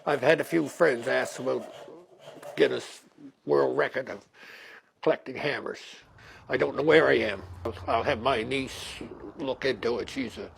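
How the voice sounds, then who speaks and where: A man's voice: mid-range voice, moderate pace, gravelly, pauses often. An older man from Nova Scotia, Canada, talking in a plain, homespun way about his hobby in a local news interview clip. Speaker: hammer collector in a news clip.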